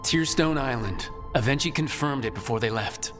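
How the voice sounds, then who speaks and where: Game lead for a man: steady, earnest adventurer, medium pitch, direct delivery with music clearly behind. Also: moderate pace, lightly acted. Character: earnest, plain-spoken heroic male adventurer. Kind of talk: video game voice acting.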